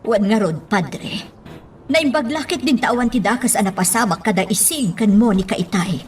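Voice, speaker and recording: A woman's voice: medium pitch, expressive, normal speed, distant mic, slight background noise, lightly acted. A woman with an Ilocano accent playing a hospitable, worried household woman in a radio drama. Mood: surprised, welcoming. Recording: off-mic radio drama over clear music.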